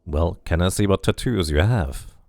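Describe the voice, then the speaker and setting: A calm, inquisitive amnesiac wanderer from a video game, voiced by a man reading along, lower than usual with a gravelly edge. His questions come plainly, in a dry close sound. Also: normal speed, no echo. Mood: curious.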